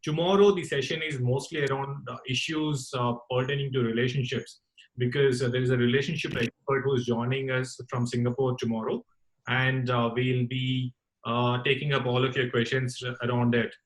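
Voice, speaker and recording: Guy hosting a student call-in show, medium voice, polite n formal, kinda halting. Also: medium pitch, flat delivery, low-quality recording. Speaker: host of a campus radio talk session. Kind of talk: spoken hosting of an online call-in session. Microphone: online group call.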